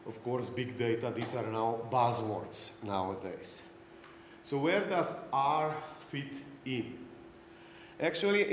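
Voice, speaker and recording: A middle-aged man from Slovenia with a Slovenian accent, giving a technical lecture at a conference as a non-native speaker. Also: mid-range voice. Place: indoor conference breakout room. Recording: roomy echoey hall, clear background noise.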